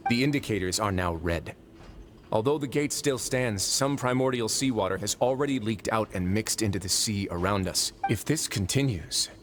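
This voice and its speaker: An actor playing a calm, authoritative and wry warden of a prison fortress in a video game, speaking in measured, formal explanations. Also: male voice, fairly low pitch, a little hiss or hum, natural voice. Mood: grave. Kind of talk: English video game voice acting. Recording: game music playing behind the voice.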